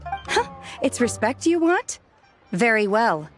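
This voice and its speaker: A woman's voice: on the high side, big pitch swings, faint music behind. Composed, stern woman fighter. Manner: formal, measured, coolly firm. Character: composed, stern, formidable young female fighter. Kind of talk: video game voice acting.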